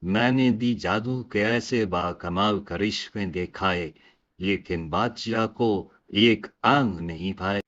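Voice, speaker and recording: Complaining cartoon magician, lowish voice, muffled recording. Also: male voice, slow pace, a bit bright. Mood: aggrieved. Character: disappointed, grumbling court magician. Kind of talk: cartoon story voice acting in Urdu.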